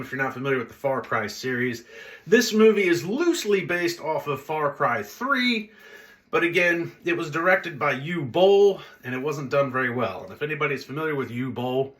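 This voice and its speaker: Bright-voiced man casually reviewing movies. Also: mid-range voice. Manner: blunt, conversational, rambling with tangents. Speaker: host of a movie review video channel. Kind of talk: casual unscripted film review commentary.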